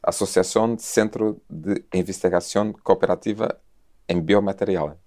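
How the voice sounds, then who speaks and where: A man's voice: low-pitched, fairly even intonation, normal speed, mellow. A man with a European Portuguese accent hosting a science interview, often echoing the guests and finishing their sentences. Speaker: host.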